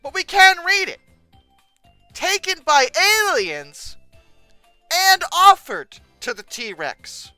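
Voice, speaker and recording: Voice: extremely high pitch, huge pitch swings, takes it slowly, long pauses, bright, forceful tone, no room sound, faint music behind. A video host giving casual, humorous commentary in a sarcastic, exasperated manner with dramatic emphasis.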